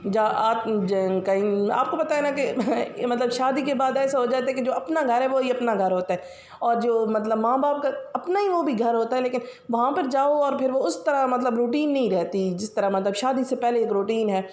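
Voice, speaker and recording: A woman with a Pakistani Punjabi accent talks through her home routine in a rambling, chatty way, full of fillers. Her voice sits a little high and has a sore, scratchy edge. The room around her sounds echoey. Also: normal speed, a little mellow. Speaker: vlogger. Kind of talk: casual daily-routine voice-over.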